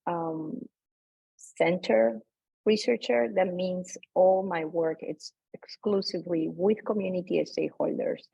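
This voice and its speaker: A woman from Peru with a Peruvian accent, presenting as an academic researcher in an unscripted, halting way over a remote video call. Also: lowish voice, creaky, warm tone, husky, dry recording. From Lima, Peru. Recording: dull lo-fi video call audio.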